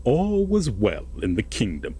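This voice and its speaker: A man playing an obsessive, possessive lover in an intimate roleplay audio drama, speaking deliberately and coaxingly with dramatic pauses and a husky voice. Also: fairly low pitch, wildly swooping pitch, warm tone, a little hiss or hum. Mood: ominous storytelling. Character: possessive, obsessive lover telling a veiled fairytale.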